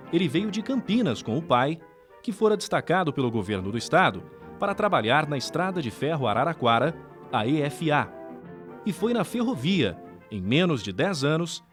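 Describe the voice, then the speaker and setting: A Brazilian man reading scripted documentary narration. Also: on the high side.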